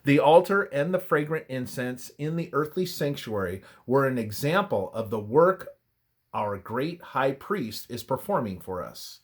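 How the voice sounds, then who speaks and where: A man reading a scripted religious study aloud in a measured, reverent, formal manner. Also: mid-range voice, animated. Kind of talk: scripted devotional narration, read aloud.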